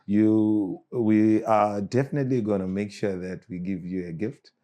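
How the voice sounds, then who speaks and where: A man's voice: fairly low pitch, fairly even intonation, moderate pace, mellow. A man hosting an interview, backing his guest with frequent short agreements. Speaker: podcast host. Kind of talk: conversational interview.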